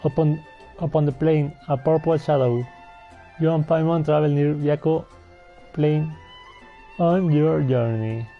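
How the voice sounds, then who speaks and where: A man giving casual, halting gameplay commentary and reading on-screen text aloud. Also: fairly high pitch, narrow, tinny audio, faint music behind. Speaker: video game streamer.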